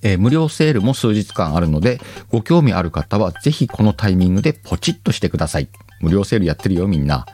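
A deep-voiced man hosting a casual solo show and reading out listener letters. His delivery swings up and down a lot, loose and chatty, with frequent laughs and small slips. Soft music plays faintly behind him. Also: quick pace. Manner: relaxed, chatty, laughs often, stumbles over words.

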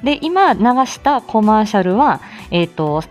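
A woman's voice: medium pitch, sing-song, moderate pace, soft background music. A woman hosting a casual solo morning show, chatting to listeners in a hesitant, thinking-aloud way. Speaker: host of a morning audio show.

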